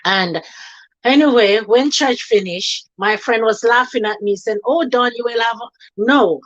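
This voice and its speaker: Higher-voiced woman, lo-fi call audio. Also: a little slow. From born in Jamaica, raised in England. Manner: earnest storytelling, slips into quoting others. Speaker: guest, nurse running a charity. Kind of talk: telling her story in an interview.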